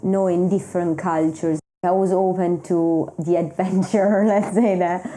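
A woman's voice: on the low side, steady tone, unhurried, mellow, slight background noise. A woman from Rome with an Italian accent, giving a conversational personal account as a documentary interviewee. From Rome, Italy. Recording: music playing behind the voice. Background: background music.

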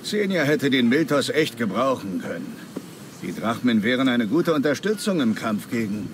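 A secretive, dismissive game pirate played by a man talks curtly with animated intonation. Clear outdoor noise surrounds the voice. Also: medium pitch, moderate pace, lightly acted. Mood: grumbling. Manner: curt, guarded. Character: defiant, secretive pirate crewman, dismissive of strangers. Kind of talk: video game voice acting. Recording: noisy outdoor game ambience behind voice.

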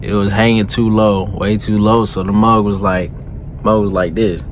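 A man's voice: lowish voice, fairly even intonation, moderate pace, a little hiss or hum. A man in his thirties, a truck driver vlogging late at night in his cab, talking in a laid-back, slangy way into a phone held close. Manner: laid-back, slangy, keeps saying "you know what I'm saying". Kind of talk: casual late-night vlog. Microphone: phone held close at arm's length.

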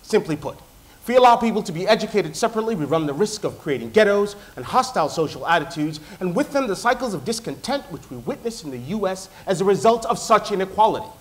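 A man in his thirties who was born in Nigeria and raised in the US, giving a memorized talk to a large lecture-theatre audience, deliberate and rhetorical with dramatic pauses. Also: high-pitched, big pitch swings. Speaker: doctoral student presenter. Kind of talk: memorized competition talk on stage.

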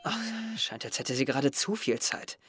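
A man's voice: medium pitch, sing-song, moderate pace, loud music behind the voice, lightly acted. A young man playing an introspective, wry teenage schoolboy who narrates his thoughts in an audio drama. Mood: wry, confiding. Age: young adult. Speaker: actor narrating as the main character. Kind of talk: audio drama inner monologue.

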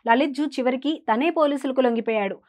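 Fast, high-voiced female news anchor. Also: a little mellow, muffled recording. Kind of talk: scripted news narration.

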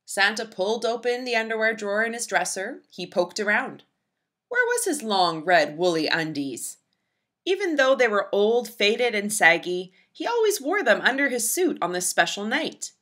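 A woman reading a children's storybook aloud with expressive, dramatic emphasis. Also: mid-range voice, normal speed. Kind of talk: expressive scripted read-aloud narration for young children.

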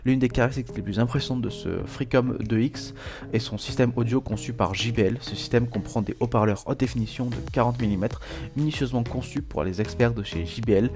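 A man's voice: mid-range voice, a little fast. A man presenting a scripted product review as a voice-over, reading aloud with small stumbles and self-corrections. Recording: voice-over with music behind. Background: background music.

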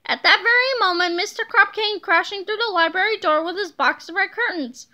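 Very high, bright woman reading aloud. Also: fairly quick. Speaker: storybook reader. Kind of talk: children's book read-aloud.

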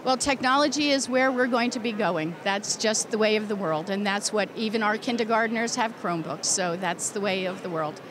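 A woman's voice: medium pitch, moderate pace, hardly any pauses, background noise. A woman in her sixties, an educator answering interview questions earnestly and emphatically into a handheld microphone. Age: 60s. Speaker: teacher and convention committee chair, interview guest. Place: crowded indoor convention exhibition hall. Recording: loud busy convention hall background.

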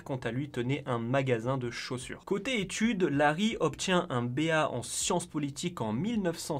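A man hosting a finance channel, narrating a casual explainer with chatty, joking asides. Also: mid-range voice. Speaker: finance YouTuber.

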